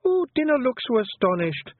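A man's voice: very high voice, big pitch swings, moderate pace, dry recording, pitched above the performer's own voice, heavily acted. A man voicing a tiny, dying old fairy clan mother with a homely Scottish brogue, fond and wry. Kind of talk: audiobook character voice. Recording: muffled phone-like dry recording.

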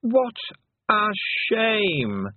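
A man's voice: high voice, huge pitch swings, very slow, no echo, narrow, tinny audio, voice pushed up, full character voice. A man voicing a cold, mocking, condescending fairy queen in an audiobook. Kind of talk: audiobook character acting.

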